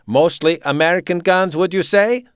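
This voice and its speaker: A man's voice: high-pitched, normal speed, clean tone, dry recording, studio quality, pitched above the performer's own voice, lightly acted. A man voicing a puny, authoritarian German prison camp commandant in an audiobook, with a staccato, demanding German accent. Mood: politely probing.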